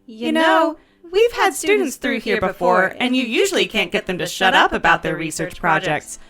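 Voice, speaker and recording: A woman's voice: medium pitch, sing-song, normal speed, a bit bright, soft background music. A woman playing a brassy, motherly diner hostess in an audio drama, with a sassy, folksy way of talking. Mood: teasing, probing.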